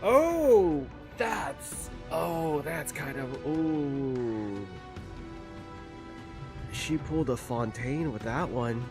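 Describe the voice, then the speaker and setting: A man's voice: on the high side, animated, very slow, over music. A man giving casual reaction commentary on a game story, thinking aloud and rephrasing as he theorizes.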